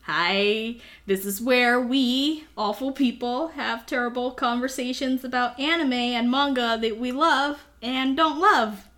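A woman's voice: on the high side, unhurried, few pauses, a bit bright. A young woman from Thailand, a native Thai speaker, co-hosting a podcast in casual chat, giggling often and using many fillers. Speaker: podcast co-host.

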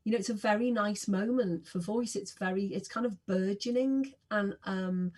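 A woman's voice: mid-range voice, moderate pace, darkish tone. A woman of sixty, a singer and podcast guest, chatting enthusiastically and with self-deprecating humour. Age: 60s. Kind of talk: casual interview chat.

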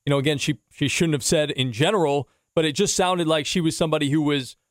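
A man hosting a casual sports talk interview, talking in a halting, rambling way with repeated phrases. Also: a little high, no echo, clean studio sound. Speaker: podcast host and interviewer.

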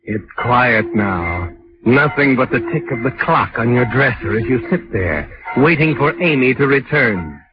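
An actor playing an ominous, all-knowing narrator who sardonically addresses the culprit in a 1940s radio mystery. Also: male voice, mid-range voice, animated, measured pace, natural voice. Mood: knowing, suspenseful. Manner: sardonic, addresses the character as "you". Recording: muffled vintage radio recording with music.

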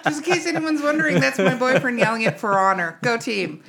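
A playful woman hosts a casual podcast with teasing banter. Her voice is fairly high and swings widely in pitch. The home recording carries a little background noise. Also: animated, a little fast, vocal fry, a bit bright. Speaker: podcast co-host.

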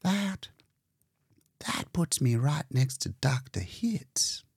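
A man reading a storybook puts on a humble, folksy young-sounding woman. The voice is raised and husky, with lively swings in pitch. She comes across as shy and sincere. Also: takes it slowly, no echo, clean studio sound, full character voice. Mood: flustered, humble. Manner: shy, plain-spoken, folksy. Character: demure, humble, earnest woman working in a death service.

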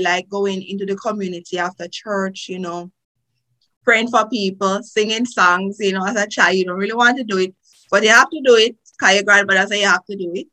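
A woman's voice: mid-range voice, pushed and bright, rough recording. A woman with a Jamaican accent, a lawyer guest on a podcast, telling stories in a chatty way with many fillers. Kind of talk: podcast interview.

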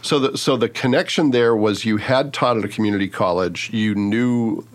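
Male podcast host with a lower voice, encouraging interviewer who affirms often. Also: normal speed. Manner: friendly, encouraging, frequent affirmations.

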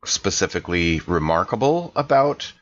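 A man with a low voice talks casually as a player in a tabletop game. The sound is a little dull and lo-fi. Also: very animated, normal speed, a bit bright. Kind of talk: casual in-character game chat.

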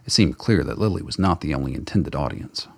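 A man with a gravelly, husky voice reading scripted narration for a radio play. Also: extremely low pitch, big pitch swings, fairly quick, a little mellow, no echo, studio quality.

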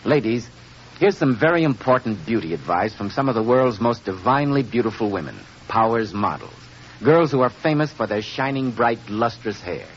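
A man's voice: mid-range voice, animated, moderate pace, slight background noise. A man announcing in polished 1940s radio style as a programme announcer. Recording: muffled old radio broadcast, loud music.

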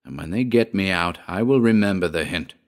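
A man's voice: low voice, animated, fairly quick. A man voicing a proud aristocratic landowner with a fierce, haughty manner in a storybook tale. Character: proud, fierce aristocratic landowner, indignant at his trapped enemy.

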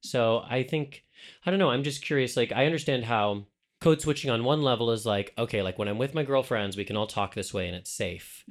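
A man's voice: medium pitch, fairly quick. A man co-hosting a podcast, talking candidly and animatedly, swearing freely. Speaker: podcast co-host. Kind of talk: casual podcast conversation.

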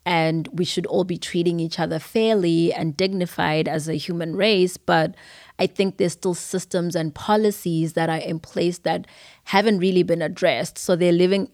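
Lower-voiced young woman with a Zimbabwean accent. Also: steady tone, moderate pace, a little mellow, no echo, clean studio sound. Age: young adult. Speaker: podcast guest. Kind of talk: casual conversation.

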